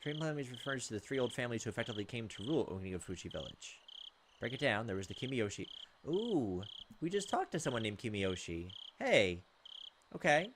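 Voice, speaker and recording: A man's voice: mid-range voice, very animated, background noise. A man reading visual novel text aloud as a let's play host, moving between narration and casual asides. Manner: pauses to comment on the text.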